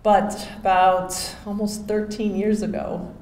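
A woman's voice: medium pitch, flat delivery, takes it slowly, a little mellow. A woman in her forties from Miami giving a hall of fame acceptance speech at a podium microphone, chatty and full of thank-yous and restarts. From Miami, Florida. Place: hotel ballroom, on stage at a podium. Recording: echoey ballroom with faint hum.

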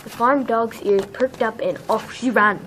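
Higher-pitched woman reading a storybook. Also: a little slow, a bit bright, slight background noise, rough recording. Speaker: narrator. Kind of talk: children's storybook read-aloud.

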